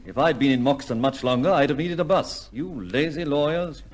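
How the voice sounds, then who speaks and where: An actor playing a shrewd, fatherly upper-class gentleman investigator in an old-time radio drama, with a commanding, wry, questioning manner. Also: on the high side, moderate pace, natural voice. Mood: wry, triumphant.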